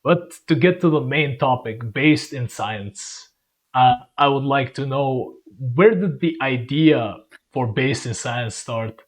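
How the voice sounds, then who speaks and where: A man's voice: medium pitch, lively intonation, slow pace. Rambling young podcast host, a man. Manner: friendly, rambling, self-correcting. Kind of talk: casual podcast hosting.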